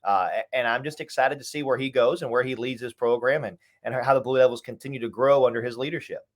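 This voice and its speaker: A man with a medium-pitched voice talks at a brisk clip. He sounds like a sports announcer being interviewed, giving casual analysis in an emphatic, conversational way. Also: steady tone. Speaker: radio sports play-by-play announcer, interview guest.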